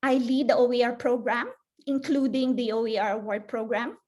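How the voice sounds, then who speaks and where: A woman's voice: on the high side, fairly even intonation, brisk, a little mellow. A woman from the Philippines, likely in her forties, giving a conference talk as a librarian, hesitant with many fillers and restarts. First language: Filipino (Tagalog). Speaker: librarian presenter.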